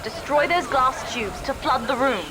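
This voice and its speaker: Game role: devoted teenage daughter with cold resolve. Youthful higher voice, deliberate and earnest, scored with prominent music. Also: female voice, rough recording. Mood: urgent, alarmed. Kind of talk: dramatic video game acting.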